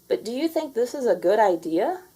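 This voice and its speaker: Lady doing a careful housekeeper woman in a kids book, measured, polite, dutiful. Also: a little high, big pitch swings, warm tone, breathy edge, pitched above the performer's own voice. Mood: worried. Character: dutiful, cautious female palace housekeeper. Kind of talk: storybook character voice.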